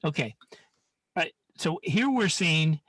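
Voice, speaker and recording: A man's voice: a little high, very animated, takes it slowly, fairly bright tone, husky, no room sound, clean studio sound. A man leading an informal live online science lesson in a hesitant, rambling way, often restarting his sentences. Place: online video call.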